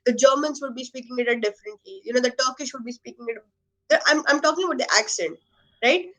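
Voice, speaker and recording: Earnest high-voiced young Indian woman. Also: fast, low-quality recording. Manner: earnest, lively, says "you know" a lot. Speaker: guest. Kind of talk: casual video chat.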